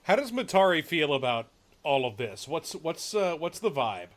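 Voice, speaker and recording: A man's voice: on the high side, big pitch swings, normal speed. A man running a tabletop fantasy horror game as game master, moving between casual table talk and atmospheric description.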